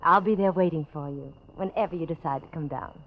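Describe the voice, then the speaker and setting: A woman's voice: sits low, brisk. An actress playing a devoted young woman in love, tender and persuasive, in an old-time radio drama. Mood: coaxing. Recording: dull lo-fi vintage radio recording.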